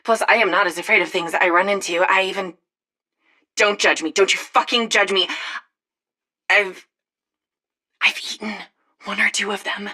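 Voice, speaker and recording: An actress playing an unhinged woman slowly turning into a monster, confessing in a jittery, halting, defensive way for a game audio log. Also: mid-range voice, fairly even intonation, sharp tone. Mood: confessional, unhinged.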